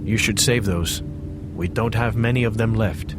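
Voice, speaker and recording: An actor playing a calm, reassuring first mate of a doomed ship, speaking over loud waves and wind. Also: male voice, fairly low pitch, normal speed, over music, close to an everyday voice.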